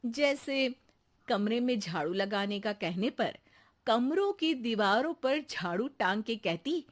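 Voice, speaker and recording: A woman's voice: a little high, lively intonation, slow. A woman narrating a cartoon story as a scripted storyteller.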